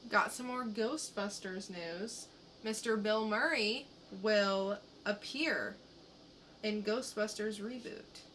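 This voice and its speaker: Slow-paced, bright-voiced woman co-hosting. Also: mid-range voice, a little hiss or hum. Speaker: podcast co-host. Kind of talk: casual pop-culture news chat.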